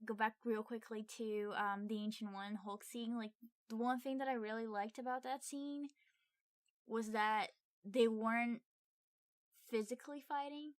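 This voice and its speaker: A woman's voice: fairly high pitch, steady tone, measured pace, no room sound. A woman co-hosting a film review podcast in casual chat, giggly and gushing in manner.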